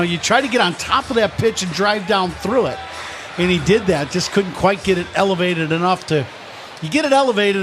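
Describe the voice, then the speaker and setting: A man's voice: high-pitched, noisy background. A man giving conversational baseball analysis as a radio color commentator in a booth. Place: ballpark broadcast booth.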